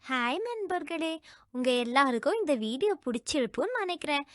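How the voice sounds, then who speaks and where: A woman's voice: high voice, very animated, moderate pace, dry recording, clean studio sound. A woman voicing a curious, cheerful little girl in a children's cartoon, sweet and inquisitive.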